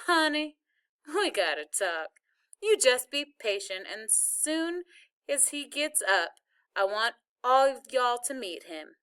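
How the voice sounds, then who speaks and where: A high, bright old Southern grandmother, played by a woman narrator. She is feisty and loving, with an emphatic, folksy delivery suited to a storybook. Her voice rings out clear. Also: big pitch swings, slow pace, heavily acted. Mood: coaxing. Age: older. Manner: folksy, emphatic, scolding yet fond.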